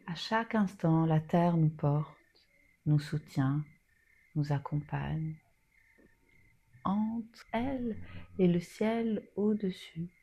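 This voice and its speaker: Slow, low-pitched woman guiding relaxation. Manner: soothing, soft and breathy at times, long pauses. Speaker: yoga nidra guide. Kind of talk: guided relaxation meditation.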